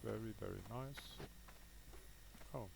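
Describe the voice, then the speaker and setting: Low-voiced Danish man commenting on gameplay. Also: takes it slowly, gravelly, background noise. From Denmark. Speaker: gaming video host. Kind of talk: casual unscripted gameplay commentary.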